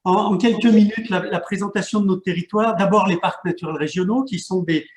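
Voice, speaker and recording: High-voiced man in an echoey room. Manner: halting, repeats words. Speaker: mayor and regional park president. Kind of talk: panel presentation.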